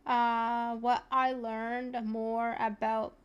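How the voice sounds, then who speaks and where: A woman's voice: fairly high pitch, flat delivery, drawn-out words, smooth, lo-fi sound. A woman giving casual live commentary as a game streamer.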